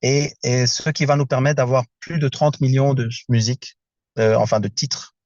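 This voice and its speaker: A man speaking from inside a car on a video call, presenting his project in a casual, halting way as he searches for words in a language that is not his first. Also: mid-range voice, steady tone, darkish tone, dry recording, dull audio.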